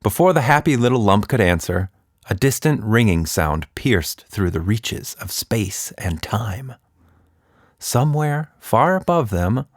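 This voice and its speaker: Slow, animated male storyteller, lowish voice. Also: no room sound, clean studio sound. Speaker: storyteller and podcast host. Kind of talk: expressive children's story narration.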